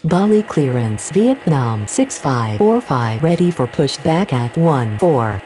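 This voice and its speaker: A woman's voice: a bass voice, very animated, slow pace, dark tone, soft background music, heavily acted. A woman playing a businesslike aviation radio operator in a game, speaking in formulaic, procedural phrases. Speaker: air traffic radio voice. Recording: clearly noisy audio.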